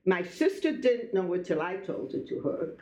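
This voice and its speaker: Older woman speaker, very animated, echoey room. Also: lowish voice, moderate pace. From born in Belgium. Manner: conversational, digressive, addresses listeners directly. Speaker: guest speaker, Holocaust survivor telling life story to students. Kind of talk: personal testimony talk to a school audience. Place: echoey room, live talk to a group. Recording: echoey room, dull muffled sound.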